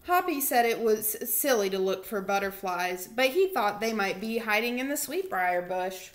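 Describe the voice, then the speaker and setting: A woman's voice: medium pitch, expressive, normal speed. A young woman reading aloud for a children's storytime, recorded at home in a small room on a computer mic. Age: young adult.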